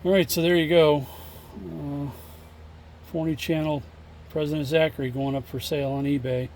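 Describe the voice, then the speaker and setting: Guy with a creaky voice showing off used gear, kinda halting and plain, bit of noise on the phone recording. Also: fairly high pitch, steady tone, darkish tone. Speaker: seller demonstrating a used CB radio. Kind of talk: casual hands-on product demo.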